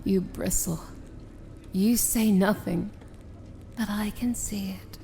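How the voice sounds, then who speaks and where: Slow, regal dark princess, played by a woman. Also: mid-range voice, steady tone, gravelly, slight background noise. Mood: tender, knowing. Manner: theatrical, deliberate, often dropping to a hushed whisper. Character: proud, tender princess of evil, wry and regal. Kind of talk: ASMR fantasy romance roleplay, intimate dramatic monologue.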